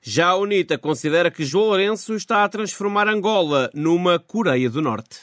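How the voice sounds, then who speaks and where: A man's voice: high-pitched, lively intonation, moderate pace, a bit bright, no echo. A man presenting scripted radio news as host, speaking into a studio microphone.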